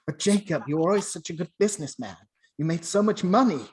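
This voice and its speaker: A man's voice: sits high, expressive, fast, darkish tone, pitched above the performer's own voice. A man playing a stubborn, haunted old miser in a radio play, theatrical and exclaiming. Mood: frightened, pleading. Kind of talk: amateur radio play acting.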